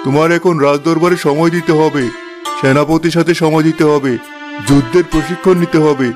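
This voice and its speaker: A man acts an aging cartoon king lecturing as a stern father. His voice sits a little high for a man, and music plays clearly behind him. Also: fairly even intonation, fairly quick, natural voice. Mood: stern, exasperated. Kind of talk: Bengali cartoon fairy-tale dialogue.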